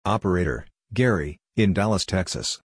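A man with a low voice narrates a practice drill, reading out short scripted phrases one at a time. His delivery is clipped and deliberate, and the sound is dry, with no room echo. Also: breathy edge.

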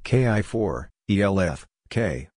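A man reading scripted practice phrases as a narrator, in a deliberate, clipped, phrase-by-phrase delivery. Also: deep voice, animated, a little mellow, no room sound, studio quality. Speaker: Morse code practice narrator.

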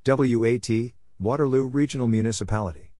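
A man reading short scripted items in a plain, deliberate announcing manner, spelling out letters and naming places. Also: mid-range voice, background noise. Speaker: Morse code practice narrator.

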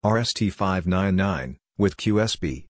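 A man reading out short scripted radio phrases as a practice narrator, in a measured, clipped, phrase-by-phrase way. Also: low-pitched, expressive, no room sound, studio quality.

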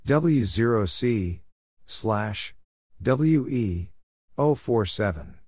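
A man narrating scripted radio exchanges for Morse code practice, reading in a measured way and spelling out call signs letter by letter. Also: on the low side, animated, darkish tone, dry recording.

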